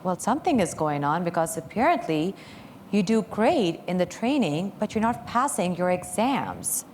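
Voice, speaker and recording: A woman's voice: on the low side, very animated, moderate pace, a little mellow, a little hiss or hum. A woman voicing her former manager, a firm and encouraging woman, in a coaxing, upbeat way.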